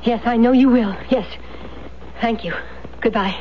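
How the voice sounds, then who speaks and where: An actress playing a cold, calculating wife in a radio drama, speaking in a cool, clipped, dismissive way. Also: female voice, on the high side, a little slow, loud music behind the voice, close to an everyday voice. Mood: cool, irritated. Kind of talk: 1970s radio drama acting. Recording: dull, noisy 1970s radio recording.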